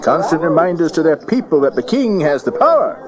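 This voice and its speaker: Old worldly magus, extremely animated pitch, theatrical, crowd behind, Bible audio drama. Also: male voice, fairly quick. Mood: worldly, grand. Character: older worldly male magus, cynical, grandly declaiming. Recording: dull cassette with crowd noise.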